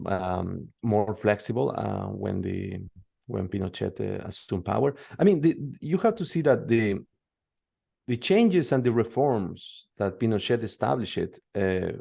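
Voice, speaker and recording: A man from Peru with a tongue, answering interview questions in a hesitant way, full of pauses and restarts. His voice sits low and has a gravelly edge. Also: darkish tone. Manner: hesitant, many fillers and restarts. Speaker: guest expert.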